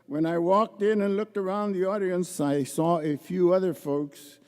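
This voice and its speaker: An older man giving a measured, heartfelt acceptance speech at a gala and reciting a poem. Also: sits high, fairly even intonation, darkish tone, clear, resonant tone. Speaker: award honoree, judge. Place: large indoor arena gala. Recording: echoey large arena.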